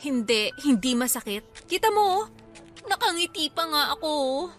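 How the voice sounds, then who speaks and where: Snappy young lady, high voice, sarcastic radio drama type, music playing behind. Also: big pitch swings, moderate pace, fairly bright tone. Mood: annoyed, sarcastic. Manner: scolding, sarcastic, blunt. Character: irritable, sarcastic injured young woman, restaurant head cook. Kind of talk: Filipino radio drama acting.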